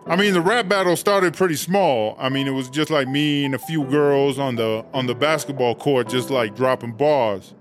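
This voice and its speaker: A man's voice: mid-range voice, normal speed, hardly any pauses, soft background music, natural voice. A man playing a brash, vain, bossy female prison inmate in a comedic audio drama, with sassy, slangy talk. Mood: chatty, boastful. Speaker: interviewed inmate character.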